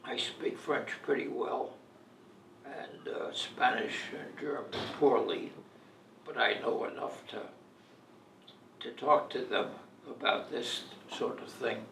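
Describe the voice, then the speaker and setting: An elderly man in his eighties or older telling personal stories in a halting, deliberate way, as in an interview. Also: mid-range voice, gravelly, fairly bright tone. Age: 80s or older. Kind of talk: reminiscing, personal storytelling. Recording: echoey room, distant mic, faint noise.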